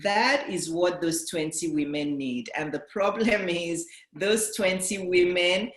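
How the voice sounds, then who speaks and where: A woman's voice: on the low side, takes it slowly, roomy sound. A woman business coach with a Nigerian and British accent, giving an earnest motivational talk to camera and driving her points home by restating them.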